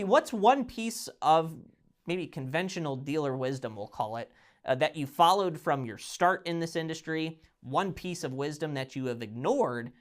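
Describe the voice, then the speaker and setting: A man hosting a video interview series, asking questions in a friendly, casual way with "kinda" fillers. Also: a little high. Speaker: interview host.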